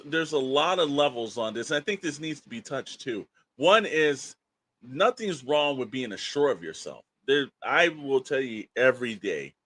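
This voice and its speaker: Male podcast co-host, mid-pitched voice, emphatic and opinionated, loose colloquial delivery that rambles. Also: bright, forceful tone. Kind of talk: opinionated casual podcast talk.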